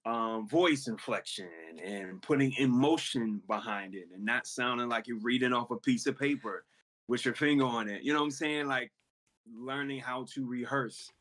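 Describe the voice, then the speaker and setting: A man who raps, answering interview questions in a relaxed, conversational way about recording music. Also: mid-range voice, normal speed. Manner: relaxed, explains with many "you know what I'm saying". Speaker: rapper guest. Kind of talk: casual interview talk.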